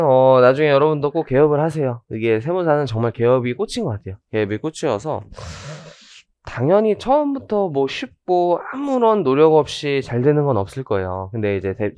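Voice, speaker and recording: A hoarse man in his thirties giving a chatty orientation lecture on tax law, with joking asides and many fillers. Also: mid-range voice, darkish tone, dry recording. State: hoarse from rhinitis.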